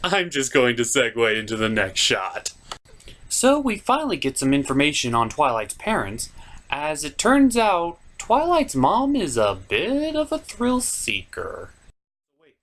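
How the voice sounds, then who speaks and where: A man's voice: fairly high pitch, huge pitch swings, unhurried, fairly bright tone. A man hosting a comedic cartoon review, narrating casually in a sarcastic, irreverent way. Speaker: host of a cartoon review show.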